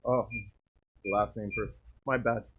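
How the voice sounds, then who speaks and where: A man with a booming voice calls a baseball game play by play and lifts his pitch on each play. The stream audio is dull and lo-fi. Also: fairly even intonation, unhurried. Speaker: play-by-play commentator. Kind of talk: baseball commentary.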